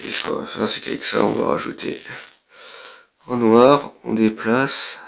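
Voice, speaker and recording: A man's voice: lowish voice, steady tone, unhurried, vocal fry. A man, who has a cold, giving casual, blunt let's play commentary as a gaming YouTuber and swearing offhandedly.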